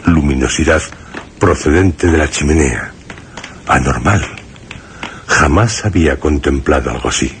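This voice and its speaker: Very deep, slow, wary narrator. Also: male voice, slight background noise. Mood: grave, wary. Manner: measured, solemn, halting phrases. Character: wary man narrating his dreadful encounter with a mad friend. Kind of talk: scripted suspense radio drama narration.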